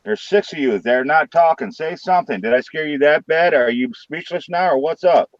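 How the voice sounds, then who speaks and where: A man with a St. Louis accent hosting a late-night live stream from his room, joking loudly and swearing freely. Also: fairly high pitch, lively intonation, normal speed, no room sound, muffled recording. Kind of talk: casual live stream chat.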